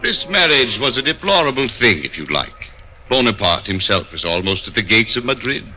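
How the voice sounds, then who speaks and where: An actor playing a solemn Spanish Grand Inquisitor, stern and measured, in 1940s radio drama style. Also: male voice, mid-range voice, big pitch swings, sharp tone. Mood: stern, probing. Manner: measured, grave, authoritative. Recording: noisy vintage radio recording.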